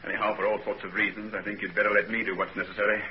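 A man acting a loyal, worried, bluff gentleman in a 1940s radio drama. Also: lowish voice, little pitch movement, very fast, close to an everyday voice. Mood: bluff, protective. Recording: dull, noisy old 1940s radio recording.

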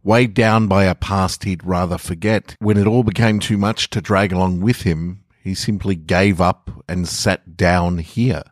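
An Australian man hosting a short-story podcast and reading fiction in an unhurried, informal way. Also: deep voice, steady tone, no echo, clean studio sound. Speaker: podcast host and story reader. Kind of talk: casual podcast talk and narrated short fiction.

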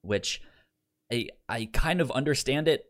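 A man's voice: mid-range voice, lively intonation, measured pace, fairly bright tone. A man co-hosting a podcast in a casual review discussion, stammering and often repeating syllables and words. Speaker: podcast co-host.

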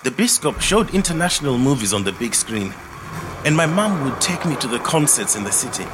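Actor as expressive, reflective middle-aged Zimbabwean man. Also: medium pitch, fairly quick, background noise, lightly acted. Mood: reflective, earnest. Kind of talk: scripted autobiographical monologue, storytelling.

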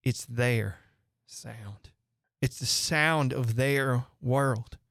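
A man voicing a grave, frightened companion warning of unearthly beings in a dramatic horror reading, solemn and full of weighted pauses. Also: medium pitch, vocal fry, a bit bright, no echo, clean studio sound, voice pushed down, heavily acted. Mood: hushed dread. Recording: music under the voice.

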